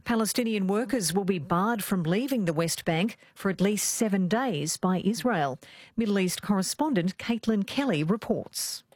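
A woman's voice: medium pitch, dry recording, clean studio sound. Woman reading the news. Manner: measured, even delivery. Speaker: newsreader. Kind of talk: scripted news bulletin. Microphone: studio microphone.

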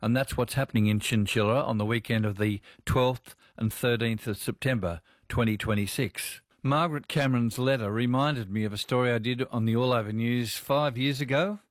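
A man hosts a radio feature, talking in a laconic, conversational way. His voice is mid-pitched, and the studio sound is dry and close. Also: normal speed, few pauses, dry recording. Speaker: radio host.

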